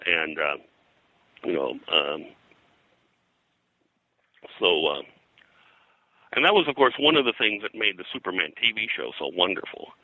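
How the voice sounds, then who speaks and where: An older man, an interview guest, recounting history in a halting, discursive, scholarly way. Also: sits low, very animated, bright, forceful tone, dry recording, low-quality recording. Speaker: guest, comics and pulp fiction historian.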